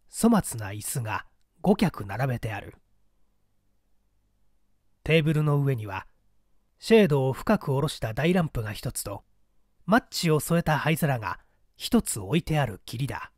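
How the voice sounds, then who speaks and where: Expressive male narrator, close dry sound. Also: medium pitch, dry recording. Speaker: audiobook narrator. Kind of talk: scripted dramatic narration of a mystery story.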